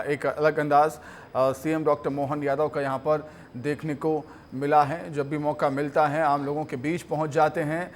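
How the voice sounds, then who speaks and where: A man's voice: a little high, slight background noise. A man presenting the news as a studio-style anchor.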